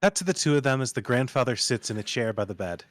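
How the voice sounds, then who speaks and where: A man's voice: mid-range voice, very animated, fast, no echo, clean studio sound. A man narrating, reading screenplay stage directions aloud as part of a table read.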